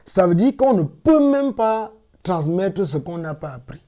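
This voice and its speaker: A middle-aged man from Cameroon answering interview questions in a measured, explanatory way with emphatic repetitions. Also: high voice, very animated, mellow. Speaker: interviewed guest, language teacher.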